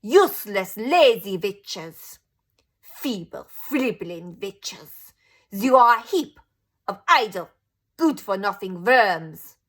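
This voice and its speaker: A woman voicing a cruel, furious female witch leader who shrieks out slow, drawn-out tirades. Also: high voice, sing-song, fairly bright tone, pitched above the performer's own voice, full character voice. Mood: raging.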